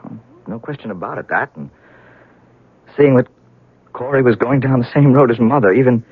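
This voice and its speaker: An actor playing a grieving, wry and bitter heir to a wealthy house in a 1970s radio drama, speaking haltingly. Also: male voice, mid-range voice, slightly rough, dry recording, lightly acted. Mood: bitter, frank. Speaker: actor in a radio mystery play. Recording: narrowband old radio broadcast, dry.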